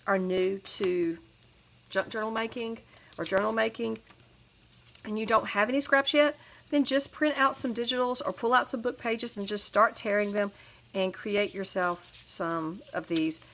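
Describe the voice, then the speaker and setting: A woman's voice: medium pitch, fairly bright tone, slight background noise. A woman with a head cold, chatting casually through a crafting tutorial with lots of fillers and restarts. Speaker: crafting channel host.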